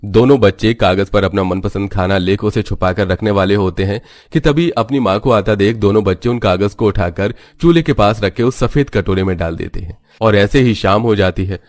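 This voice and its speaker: A man serves as narrator of a cartoon folk tale. His voice is on the low side. He reads scripted narration in a dry, echo-free recording. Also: moderate pace, few pauses, a little mellow. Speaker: storyteller, narrator.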